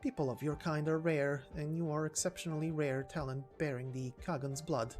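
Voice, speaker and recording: A man voicing a calm old knight who speaks formally and solemnly, like a storyteller in a game, with music behind. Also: a little high, normal speed, natural voice. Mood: calm, earnest. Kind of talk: dramatic reading of game dialogue. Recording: voice over background music.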